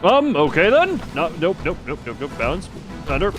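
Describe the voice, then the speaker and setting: Animated, higher-voiced man streaming a game. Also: normal speed, over music. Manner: playful, rambling, breaks into exclamations and silly sound effects. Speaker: live streamer. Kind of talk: casual live gameplay commentary.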